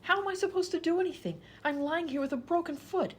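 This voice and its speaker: A woman's voice: very high voice, fast talker. A woman playing an exasperated, indignant hospital patient pleading her case in a comedy radio sketch.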